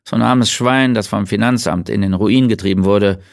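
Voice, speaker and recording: A man voicing an excitable male police colleague who blurts out shrill exclamations. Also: normal speed, gravelly, no room sound, studio quality. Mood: agitated.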